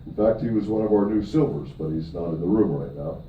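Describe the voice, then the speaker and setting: A man's voice: fairly low pitch, fairly quick. Middle-aged man lecturing from afar. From Michigan, USA. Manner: keeps checking in with the room with "okay?"; emphatic, with upward swings on key points. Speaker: presenter at a company event. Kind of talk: live seminar talk to an audience. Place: room where a talk is given to an audience. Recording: distant, echoey, dull audio with faint noise.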